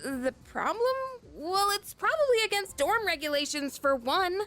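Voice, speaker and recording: High, animated, exasperated teenage girl. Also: normal speed, faint music behind, exaggerated voice. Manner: expressive, informal, emphatic. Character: exasperated, protective high school girl dorm leader. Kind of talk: video game voice acting.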